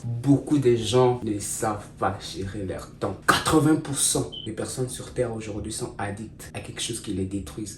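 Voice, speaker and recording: A man from Congo, giving a motivational talk to viewers as a content creator, stressing points emphatically. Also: mid-range voice. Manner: emphatic, addresses viewers directly as "guys", repeats for stress. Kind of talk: motivational self-help talk. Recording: roomy and distant with faint noise.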